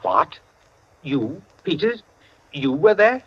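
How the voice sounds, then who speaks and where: A man's voice: fairly high pitch, very animated, slow pace, breathy edge, exaggerated voice. Pompous, swooping clubman intellectual. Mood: surprised. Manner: pompous, declamatory, condescending. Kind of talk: 1940s American radio drama acting. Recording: muffled old radio broadcast.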